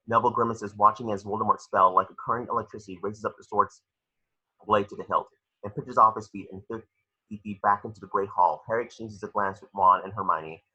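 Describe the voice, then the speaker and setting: A man's voice: on the low side, sharp tone, breathy edge, highs cut off. A man reading screenplay stage directions as narrator at an amateur table read, occasionally stumbling over the words.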